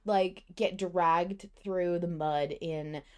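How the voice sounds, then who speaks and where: Lower-voiced woman, emphatic podcast talk. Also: fairly even intonation, extremely slow, a little mellow, clean tone. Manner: emphatic, casually sweary. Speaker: podcast host. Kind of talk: casual fan discussion.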